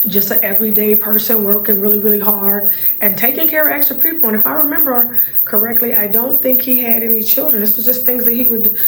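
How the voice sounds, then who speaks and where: Middle-aged woman, raspy voice, chatty, repeats words for emphasis, casual vlog host, roomy echo, faint background hum. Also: a little high, steady tone, fast. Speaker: channel host, surplus funds recovery business owner. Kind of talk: casual talking-to-camera vlog.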